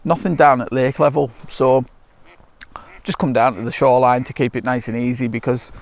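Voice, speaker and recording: A middle-aged British man chatting casually to camera outdoors in a relaxed, unscripted, slightly hesitant way. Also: medium pitch, normal speed, no room sound. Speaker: landscape photography vlogger. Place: outdoors by a lake shore.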